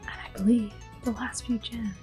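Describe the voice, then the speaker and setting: A woman giving gentle, unhurried ASMR game commentary in a breathy, whispery voice. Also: a little high, fairly even intonation. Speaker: gameplay host. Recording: music behind the voice. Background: soft game music.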